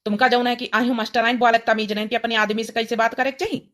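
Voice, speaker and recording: A woman's voice: on the high side, level tone, fast, fairly bright tone, lightly acted. A woman voicing an exasperated young village husband in a cartoon comedy, chiding in a rustic Awadhi accent. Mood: scolding.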